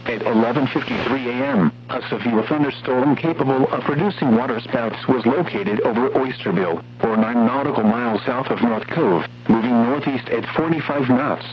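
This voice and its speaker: Male automated-style announcer for marine warning bulletins, mid-pitched and formal, on muffled radio with faint hum. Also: lively intonation, normal speed, few pauses. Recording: muffled weather radio broadcast, noisy hum.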